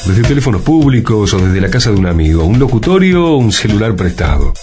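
A man's voice: on the low side, very animated, a little fast. A man reading a scripted radio promo as a station announcer. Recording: loud drum music behind the voice. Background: loud drum music.

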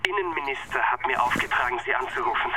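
A nervous young inspector, hesitant, stammering, phone filter, noisy line. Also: male voice, medium pitch, quick pace. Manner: hesitant, stammering, full of fillers. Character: nervous, rambling young male duty inspector. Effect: telephone line.

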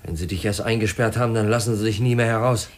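An actor playing a troubled, earnest young man who speaks in halting, intense phrases in a 1950s German radio drama. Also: steady tone, natural voice. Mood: dazed, bitter. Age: young adult. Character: troubled, earnest young male outsider in a mystery. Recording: faintly noisy, rumbly 1950s radio recording.

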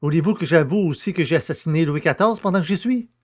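Audio drama: cheeky, defiant poacher, acted by a man, slightly high pitch, sarcastic and colloquial. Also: quick pace, close to an everyday voice. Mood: sarcastic, resigned. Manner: colloquial, sardonic. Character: defiant, sarcastic rustic poacher under questioning.

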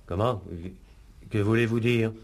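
A puzzled questioner in an old French police radio play. He has a fairly low voice and sounds incredulous at what he is told. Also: steady tone, unhurried, darkish tone. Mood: puzzled, astonished.

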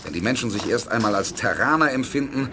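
A man acting as an idealistic space commander in a radio drama, firm and authoritative. His mid-pitched voice moves expressively, with clear background noise behind it. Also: lively intonation, fast, close to an everyday voice. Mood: earnest, calm. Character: resolute, calm male space commander, idealistic leader. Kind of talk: 1980s German radio play acting. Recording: noisy 1980s radio drama production.

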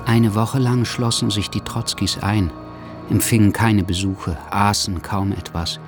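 A man's voice: lowish voice, fairly even intonation, unhurried, music in the background. A man giving scripted, literary narration in a radio drama.

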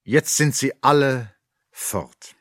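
An actor as the narrator of a radio drama, a wry, gently ironic observer with a measured delivery. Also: male voice, mid-range voice, dry recording, studio quality. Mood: wry, reflective.

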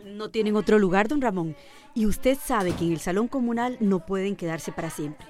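Radionovela: actriz como mujer centroamericana del comité, franca y preocupada, voz de tono medio. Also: female voice, medium pitch, normal speed, a little mellow, faint music behind, natural voice. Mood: concerned. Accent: Central American. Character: concerned, frank woman of a town committee. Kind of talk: radio soap opera acting.

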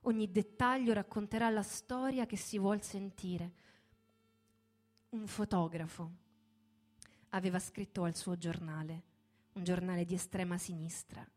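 A woman's voice: mid-range voice, a little mellow. A woman reading a dramatic scripted narrative in a radio theatre performance. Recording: music playing behind the voice. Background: music.

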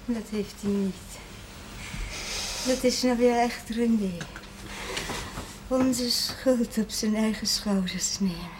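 An actress playing a gentle, grieving mother who longs hopefully for her son, in a television period drama. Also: fairly high pitch. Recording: clear background noise, old TV soundtrack.

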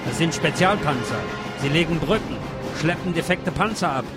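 A male narrator with a fairly high voice reads scripted documentary copy. Loud music runs underneath the whole delivery. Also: expressive, normal speed. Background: loud music bed.

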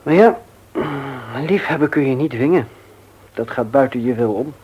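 Radio drama role: resigned, earnest lover, husky mid-pitched voice, halting delivery. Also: male voice, expressive, muffled recording. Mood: resigned, sad. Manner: halting, hesitant. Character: earnest, disappointed suitor, resigned and proud. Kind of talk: intimate radio drama dialogue.

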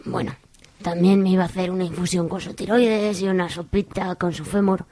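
A woman's voice: lowish voice, steady tone, brisk, mellow, close to an everyday voice. A woman playing a flippant, scheming fortune-telling witch in an amateur comic radio soap, casual about gruesome things. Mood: grave.

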